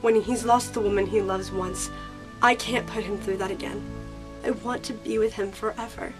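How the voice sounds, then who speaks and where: A woman's voice: mid-range voice, fairly even intonation, normal speed, music in the background, natural voice. A woman playing a heartfelt sixteen-year-old girl in an audio drama, emotional and sincere. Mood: tender, sincere.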